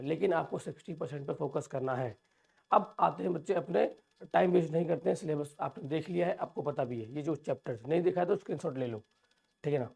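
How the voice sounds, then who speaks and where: Hoarse man teaching, gravelly voice. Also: on the high side, a little mellow. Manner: addresses viewers as children, reassuring. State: sore throat. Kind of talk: exam overview lesson.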